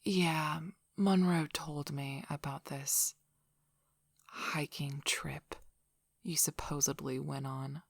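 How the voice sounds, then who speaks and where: A woman playing a protective, threatening female werewolf pack leader in an ASMR roleplay, speaking in a suspicious, menacing, breathy near-whisper. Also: low voice, steady tone, slow, no room sound, studio quality, full character voice. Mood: suspicious, interrogating.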